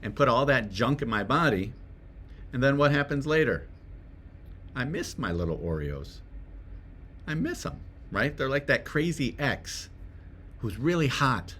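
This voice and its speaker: A man's voice: medium pitch, sing-song, unhurried, pauses often, fairly bright tone, slight background noise. A man giving a conversational self-help talk to the viewer, often asking rhetorical questions and checking in with "right?". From Swedish family roots. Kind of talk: direct-to-camera motivational talk.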